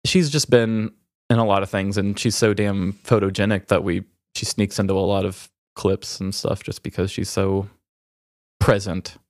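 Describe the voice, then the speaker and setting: A man who hosts a podcast, talking candidly and haltingly in an emotional, reflective way while grieving. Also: low-pitched, fairly even intonation, dry recording, clean studio sound. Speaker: podcast host. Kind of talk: raw, candid personal conversation.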